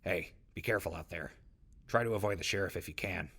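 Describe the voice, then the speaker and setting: An actor playing a friendly, joking wasteland survivor in an audio drama, casual and slangy with a gravelly voice. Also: male voice, low-pitched, quick pace, a bit bright, exaggerated voice. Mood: mildly wary. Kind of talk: post-apocalyptic audio drama acting.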